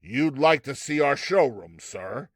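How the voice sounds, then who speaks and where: A slippery, smiling magic-shop proprietor from a storybook as performed by a man reading aloud. His middle-pitched voice rises and falls dramatically with polished, fawning salesmanship. The sound is dry and close. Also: expressive, measured pace, dry recording. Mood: suave salesmanship. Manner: smooth, obsequious, showmanlike. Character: suave, smiling, faintly sinister male magic shopkeeper.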